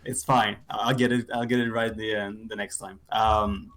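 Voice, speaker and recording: A young man from Quebec with a slightly low voice chats casually as a guest on a gaming show. He rambles in a laid-back, self-deprecating way. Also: little pitch movement, moderate pace, fairly bright tone. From Quebec City, Canada. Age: young adult. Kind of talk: casual chat.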